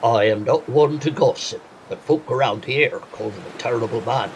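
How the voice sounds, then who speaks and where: An actor playing a talkative, superstitious coastal villager with rustic, deferential phrasing in an audio drama. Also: male voice, medium pitch, moderate pace, slight background noise, lightly acted. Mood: polite, curious.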